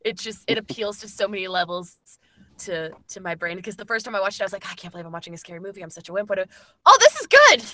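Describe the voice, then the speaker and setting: A woman with a bright, crisp-edged tone chats casually as a podcast guest. The sound is dry, with hardly any room. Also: mid-range voice, sing-song, fast talker, dry recording. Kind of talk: casual chat.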